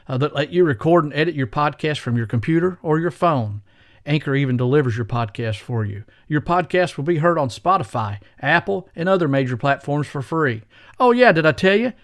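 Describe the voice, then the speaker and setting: A man hosting a podcast, giving a casual scripted sponsor read. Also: fairly high pitch. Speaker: podcast host.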